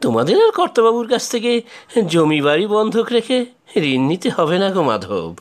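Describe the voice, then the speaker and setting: A wildly animated village henchman. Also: high-pitched, fairly quick, soft background music. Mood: earnest. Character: moneylender's bold village henchman, secretly righteous. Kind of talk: Bengali cartoon voice acting.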